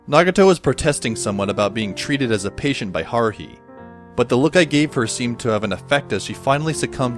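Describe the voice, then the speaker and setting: A man playing a wry, introspective schoolboy who narrates an audio drama in a dry, measured, literary way. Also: medium pitch, natural voice. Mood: dry narrating. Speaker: narrator and lead character. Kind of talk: first-person scripted audio drama narration.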